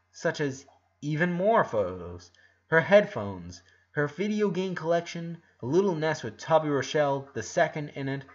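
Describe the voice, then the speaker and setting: Guy narrating a story, really animated pitch, kinda dull lo-fi audio. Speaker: fan fiction narrator. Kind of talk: expressive scripted narration.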